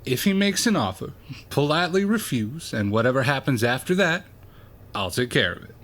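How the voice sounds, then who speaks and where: A man's voice: medium pitch, sing-song, moderate pace, a bit bright. A man playing a wary, protective small-town diner cook in an audio drama, suspicious and terse.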